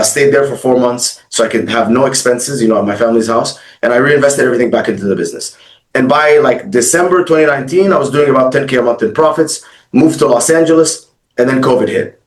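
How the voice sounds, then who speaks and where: Man, 30s, interview guest; chatty storyteller, filler-heavy, average pitch, echoey room. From Egypt and Dubai. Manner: fast, fluent storytelling with many fillers. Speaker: guest, agency founder.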